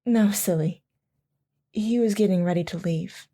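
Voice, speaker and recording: A woman's voice: medium pitch, unhurried, dark tone, close to an everyday voice. A woman playing a devoted soldier's wife and mother in an audio drama, telling her story tenderly and reflectively. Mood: wistful, reminiscing.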